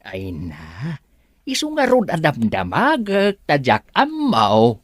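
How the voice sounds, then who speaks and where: An actor with a Filipino accent playing a humble, anxious servant in a radio drama, hesitant and deferential. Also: male voice, mid-range voice, huge pitch swings, slow, no echo, clean studio sound, heavily acted. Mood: worried, confused. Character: anxious, humble male servant pleading with his boss.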